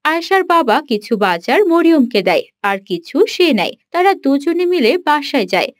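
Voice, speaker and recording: A woman's voice: high voice, very animated, fairly quick, dry recording, studio quality. A woman giving scripted narration for a cartoon story.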